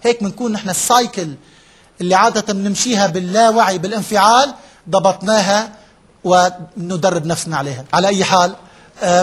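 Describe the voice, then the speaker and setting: Fast husky man lecturing. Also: sits high. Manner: explains step by step, checks in with listeners often; drops English terms into his speech. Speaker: workshop trainer. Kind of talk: interactive lecture to a live group.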